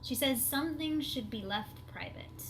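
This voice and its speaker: A woman's voice: high-pitched, animated, moderate pace, poor audio. A woman playing an imaginative, candid little girl with matter-of-fact childlike chatter in a dramatic script reading. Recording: clear background noise.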